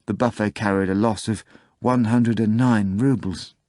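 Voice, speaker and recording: Guy reading as a nervous put-upon bartender, low and halting, dry close sound. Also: steady tone, a little mellow, dry recording, close to an everyday voice. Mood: flustered. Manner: flustered, hesitant. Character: timid, miserable middle-aged male bartender.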